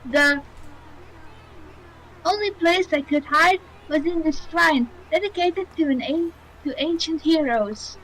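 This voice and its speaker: A woman's voice: high-pitched, a bit bright, slight background noise, poor audio. A woman voicing a courtly, helpful male brush god as she dubs a game scene aloud.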